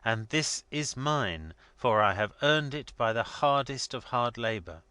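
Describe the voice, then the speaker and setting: A man as a dignified, restrained young gentleman. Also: mid-range voice, expressive, smooth, no echo, voice pushed up. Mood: proud, controlled. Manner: formal, measured, controlled. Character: dignified, restrained young male secretary under insult.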